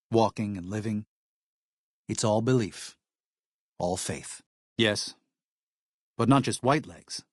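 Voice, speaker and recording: A man's voice: fairly low pitch, dry recording, studio quality. An actor playing a devout, weary missionary man in a video game, speaking with earnest gravity. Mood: weary, regretful. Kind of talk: video game character dialogue.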